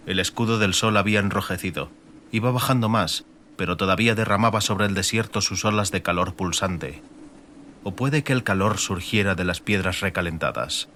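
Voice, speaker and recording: A man's voice: fairly low pitch, a bit bright, slight background noise. A man reading a scripted, dramatic fantasy audiobook narration.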